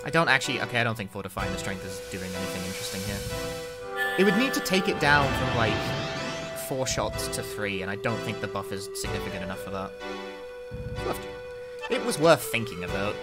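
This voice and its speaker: A man's voice: lowish voice, expressive, fairly quick. A young man giving casual live gameplay commentary, thinking aloud in a wry, sweary way. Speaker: streamer, speedrunner. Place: indoors, at a desk with webcam. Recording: loud game music behind. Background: video game music.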